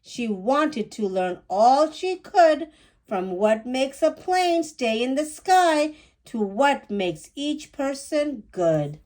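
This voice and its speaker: A woman reading a rhyming children's picture book aloud with deliberate, animated phrasing. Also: high-pitched.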